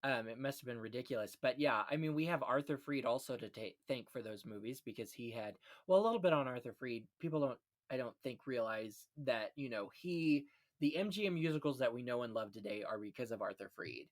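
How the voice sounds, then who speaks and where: A man's voice: medium pitch, animated, a little fast. A man hosting a casual film history discussion in an earnest, informative, chatty way. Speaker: podcast host, film history enthusiast.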